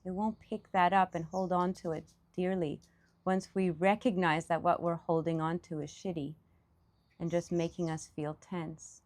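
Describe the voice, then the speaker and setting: A woman leading a guided meditation as a teacher, speaking off the cuff in reflective, halting phrases with pauses. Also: fairly low pitch, little pitch movement, darkish tone.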